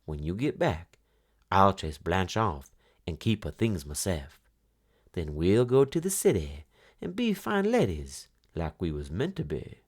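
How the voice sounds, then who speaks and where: A man's voice: low voice, big pitch swings, unhurried, breathy edge, heavily acted. A man voicing a scheming, short-tempered mother in a children's story, commanding and speaking in rural dialect.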